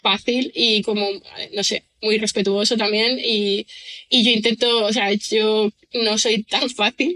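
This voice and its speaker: Bright-voiced woman musing with many fillers. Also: medium pitch, low-quality recording. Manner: thoughtful, rambling with many fillers and restarts. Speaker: writer and screenwriter guest. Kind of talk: casual podcast interview.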